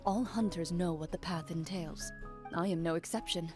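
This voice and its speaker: A composed woman duelist voiced by an actress speaks in a lowish, measured voice. She is formal, stoic and understated, an honourable hunter in an anime game, with music faintly underneath. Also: natural voice. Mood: resolute. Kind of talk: anime video game voice acting.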